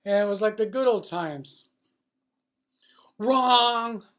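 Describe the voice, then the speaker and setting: A man giving an unscripted, opinionated monologue as an industry insider, speaking bluntly and emphatically with salty language and repeating phrases in a halting way. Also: high voice, fairly bright tone. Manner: blunt, emphatic, profane, riled up. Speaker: loudspeaker supply industry commentator based in China.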